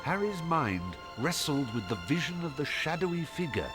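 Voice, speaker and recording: A man's voice: fairly high pitch, sing-song, moderate pace. A man narrating a scripted fantasy story dramatically for a video game. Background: music.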